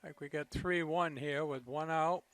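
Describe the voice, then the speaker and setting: A man calling a local baseball game as play-by-play commentator, relaxed and conversational, with a voice that is starting to go. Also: measured pace. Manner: low-key, conversational calls. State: losing his voice.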